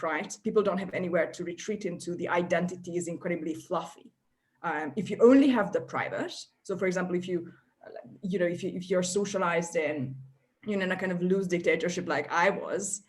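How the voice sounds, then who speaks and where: A woman in her thirties from Eastern Europe, hosting a casual discussion in a thoughtful, discursive way, often checking in with the listener. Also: fairly low pitch. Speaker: host of an online discussion salon.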